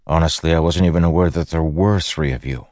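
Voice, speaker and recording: A man's voice: a bass voice, brisk, darkish tone, no echo, studio quality, pitched above the performer's own voice. A man voicing a stern, guarded war leader in a fantasy audiobook, speaking in a firm, blunt, stubborn way.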